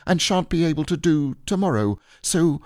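A man voicing a small, timid, excitable young piglet in a squeaky, hesitant voice for a children's storybook. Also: moderate pace, no echo, studio quality, natural voice. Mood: nervous. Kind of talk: children's storybook character reading.

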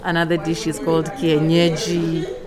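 A woman's voice: sits low, monotone, moderate pace, crowd noise. A woman from Kenya, a culinary student, chatting eagerly and laughing now and then. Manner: warm, eager chat with laughs.

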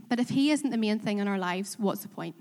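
A woman's voice: mid-range voice, brisk, a little mellow. A woman with a Korean accent preaching a sermon in church, earnest and self-deprecating, asking the congregation questions. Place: church in Belfast. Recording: reverberant hall.

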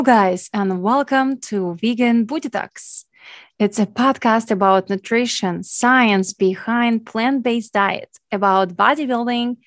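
A woman's voice: on the high side, no room sound, clean studio sound. Ukrainian-accented woman hosting a podcast. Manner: earnest, grateful, non-native phrasing. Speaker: podcast host, nutrition coach. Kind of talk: solo podcast monologue.

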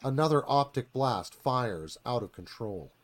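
A man's voice: mid-range voice, lively intonation, unhurried. A man reading screenplay narration dramatically for a live radio theatre performance.

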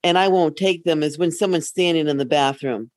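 A woman's voice: low voice, fairly quick, darkish tone, no room sound. A middle-aged woman talks casually as a podcast co-host. She is blunt and wry, offering opinions and little stories. The sound is dry and close. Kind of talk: casual conversational storytelling.

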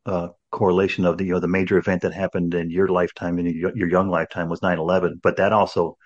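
A man's voice: low-pitched, little pitch movement, quick pace, highs cut off. A man in his sixties hosting a podcast in casual conversation, rambling with many restarts and "you know"s. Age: 60s. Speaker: podcast host.

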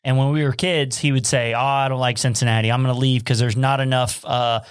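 A man's voice: medium pitch, fairly quick, clean tone, dry recording. Casual, affirming man in a dry recording. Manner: casual, affirming, animated when telling a story. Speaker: podcast host. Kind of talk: conversational interview.

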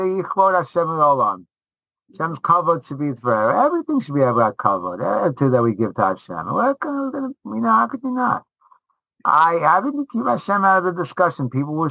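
A man's voice: mid-range voice, bright, forceful tone, no echo, rough recording. A man giving casual interview answers as a rabbi and teacher, speaking emphatically and often repeating short phrases for stress. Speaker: rabbi and high school teacher.

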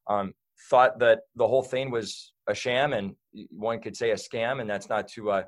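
A man's voice: on the low side, steady tone, moderate pace. A man talking as a guest in a casual interview, rambling with lots of fillers and restarts.

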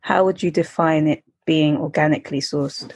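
A woman's voice: sits low, steady tone, normal speed, darkish tone, slight background noise. A woman interviewing a guest, asking questions in a casual way with many fillers.

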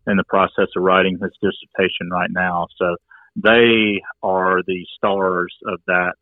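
A man in his forties from Georgia, a wildlife biologist, answering interview questions in a measured, matter-of-fact way. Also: deep voice, little pitch movement, no room sound. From Georgia, USA. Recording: tinny phone line.

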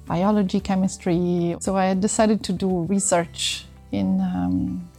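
A middle-aged woman with an Italian accent answers interview questions in a soft-edged, mellow voice. She explains things conversationally, pausing to find words, with music playing behind her. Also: lowish voice, flat delivery. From northern Italy. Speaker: interview guest, sports medicine doctor.

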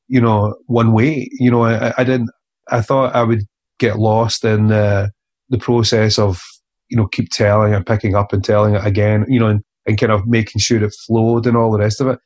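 Earnest, halting man. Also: lowish voice, darkish tone. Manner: earnest, halting, many fillers and restarts. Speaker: author guest. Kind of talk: reflective interview conversation.

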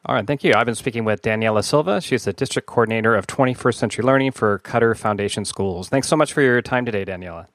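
Low-voiced male podcast host, dry mic. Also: quick pace, hardly any pauses, a bit bright, no echo. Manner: rambling questions with restarts. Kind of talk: conversational interview, promo read.

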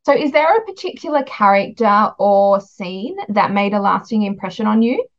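A woman's voice: mid-range voice, lively intonation, normal speed, muffled recording. An Australian woman hosting a podcast, asking questions and chatting casually about books in an interview.

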